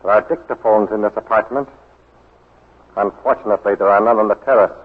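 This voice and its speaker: An imperious Japanese statesman in a vintage radio play. His delivery is formal, grave and coldly controlled. The voice is husky, swimming in reverb on a thin, narrow old recording. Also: lowish voice, brisk. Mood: coldly menacing. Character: cold, imperious Japanese baron, head of government. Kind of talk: 1940s radio drama acting. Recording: hollow, lo-fi 1940s radio recording with long reverb.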